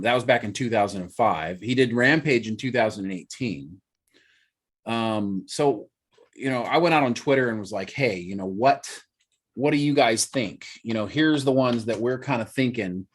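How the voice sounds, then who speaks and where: A man's voice: on the low side, moderate pace. A man hosting a casual podcast, explaining things chattily and hedging with fillers. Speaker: podcast host. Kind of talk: casual chat.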